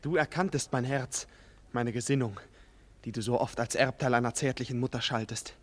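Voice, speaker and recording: A man's voice: medium pitch, steady tone, moderate pace, close to an everyday voice. An actor playing a tender, impassioned young nobleman in despair, declaiming emotionally in a 1940s German radio play. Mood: anguished, defiant. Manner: emotional, declamatory, bursting from subdued grief into loud outcries. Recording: vintage 1940s radio studio recording.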